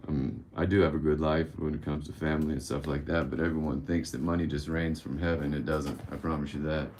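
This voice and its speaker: A man's voice: a bass voice, level tone, a little fast, a little mellow, a little hiss or hum. A man around fifty hosting a casual live-stream Q&A, candid and blunt, rambling and swearing casually. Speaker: livestream host answering viewer questions.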